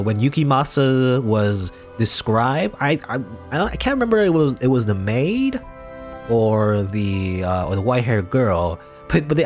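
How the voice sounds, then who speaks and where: A young man reading a visual novel aloud dramatically, breaking off into rambling asides full of fillers. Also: mid-range voice. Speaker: let's player.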